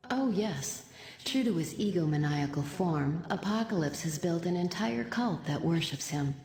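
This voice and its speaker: A cool, elegant and haughty teacher heroine in a game cutscene. Her voice is dark and soft-edged, condescending and composed, ringing with long reverb in an echoey space. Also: on the low side, expressive, moderate pace. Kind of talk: dramatic video game cutscene acting.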